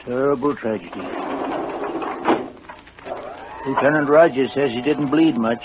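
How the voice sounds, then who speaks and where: A man's voice: mid-range voice, fairly quick, lightly acted. Folksy, simple elevator man, acted, tinny recording. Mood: morbidly eager. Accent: American. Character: folksy, simple, chatty male elevator operator. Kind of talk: 1950s radio detective drama acting. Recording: thin, noisy old radio recording.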